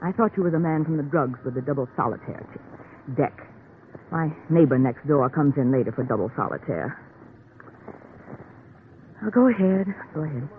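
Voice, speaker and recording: Bored sarcastic housewife in a 50s noir radio show, languid, tinny sound, bit of hiss. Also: female voice, deep voice, expressive, moderate pace, long pauses. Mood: bored, sardonic. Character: bored, restless, sardonic young American wife. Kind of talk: 1950s American radio noir acting. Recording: tinny vintage radio recording, faint noise.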